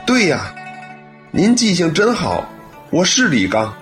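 A man's voice: on the high side, huge pitch swings, measured pace, fairly bright tone, faint music behind, full character voice. An actor playing a sincere, courteous young policeman in a radio drama, speaking with gratitude. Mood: warm recognition. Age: young adult. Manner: earnest, courteous.

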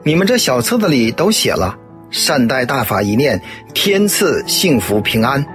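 A man's voice: medium pitch, big pitch swings, normal speed, fairly bright tone, faint music behind. A man acting a friendly, eager policeman in a radio drama, talking in a hearty, earnest way. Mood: agreeable, earnest.

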